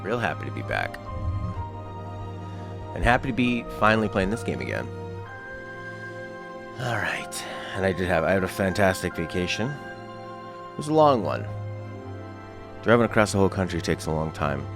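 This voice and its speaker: A man casually commenting while streaming a video game, chatty and breaking into sudden excited exclamations. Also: deep voice, moderate pace, pauses often. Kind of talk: casual let's-play commentary. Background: game music and sound.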